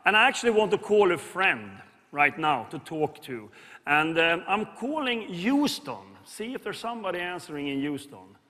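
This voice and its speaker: A man's voice: sits high, big pitch swings, moderate pace, sharp tone. A man in his fifties with a Swedish accent, hosting a keynote on a large arena stage with a headset mic, enthusiastic and loose with plenty of fillers. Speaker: company chief and keynote host. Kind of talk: unscripted keynote remarks. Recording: long hall reverb from arena.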